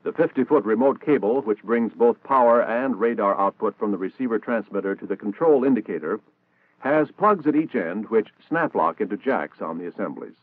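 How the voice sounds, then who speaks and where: Male American narrator, formal and instructional, lively intonation, thin vintage band-limited soundtrack, 1960s military training film read. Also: on the low side, normal speed, no room sound, phone-quality sound.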